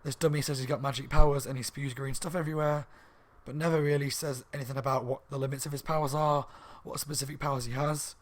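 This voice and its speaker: Hoarse-ish guy chatting about a book at home, rambly, lots of ums, mid pitch. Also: level tone, fast talker, hardly any pauses. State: slightly hoarse. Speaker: book reviewer. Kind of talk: casual review chat. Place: room at home by a window on a main street.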